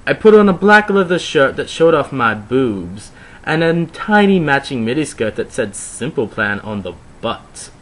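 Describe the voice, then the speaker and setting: Man, story narrator, bright forceful voice, animated, wry, theatrical, comedic reading. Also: mid-range voice, unhurried. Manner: wry, theatrical, with mocking asides. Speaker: narrator reading fan fiction aloud.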